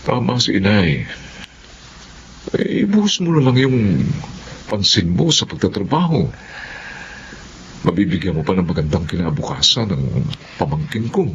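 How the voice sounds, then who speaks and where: A man's voice: deep voice, very animated, moderate pace, long pauses. A man playing a stern, protective older brother in a Filipino radio drama, blunt and admonishing. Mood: advising. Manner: emphatic, admonishing. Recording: dull lo-fi audio, faint noise.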